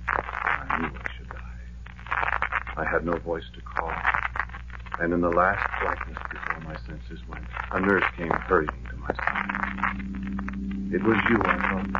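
An actor playing a weary, lovelorn war veteran, narrating in a brooding, poetic, measured way in a 1940s radio drama. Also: male voice, sits low, close to an everyday voice. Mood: haunted. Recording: noisy, dull 1940s radio recording.

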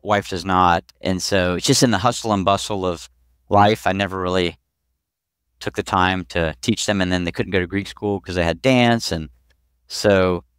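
Male medical expert, 40s, podcast guest: low, smooth voice, explains casually in plain everyday terms. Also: no echo, clean studio sound. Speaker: pediatric urologist, podcast guest. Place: small clinic office, video call. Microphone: headset boom mic.

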